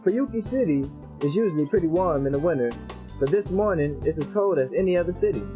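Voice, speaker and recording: A man reading visual novel text aloud for a let's play, with casual slangy asides. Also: on the high side.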